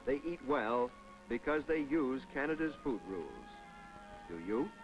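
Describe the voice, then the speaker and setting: A man narrates a vintage 1950s educational film over background music. His voice sits at a middle pitch. He is instructive yet folksy, slipping in conversational asides as he explains things to the viewer. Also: expressive, measured pace, poor audio. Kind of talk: 1950s public information film narration, scripted.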